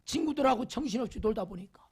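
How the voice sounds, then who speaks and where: A male pastor preaching a Sunday sermon, leading the congregation in reading and repeating rhetorical questions, swinging from loud emphasis to near whispers. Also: very high voice, animated, quick pace, breathy edge. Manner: leads the congregation in call-and-response reading.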